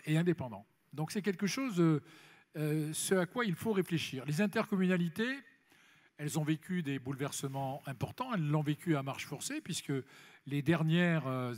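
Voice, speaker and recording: A man speaking off the cuff as an elected official on a congress panel, emphatic and repeating phrases for stress. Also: on the high side. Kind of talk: impromptu panel discussion at a congress. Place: large congress hall plenary session. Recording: reverberant large hall, amplified speech.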